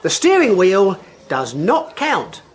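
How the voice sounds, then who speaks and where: Very slow, halting schoolteacher. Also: female voice, mid-range voice, huge pitch swings, a faint crowd. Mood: brisk, bossy. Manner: halting, childlike storytelling. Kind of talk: radio drama acting.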